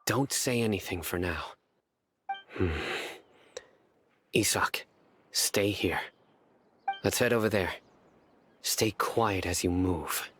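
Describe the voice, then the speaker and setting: An actor playing a stern, menacing enforcer of few words in a video game. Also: male voice, low-pitched, slow pace, pauses often, a bit bright, slight background noise. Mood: watchful, hushed.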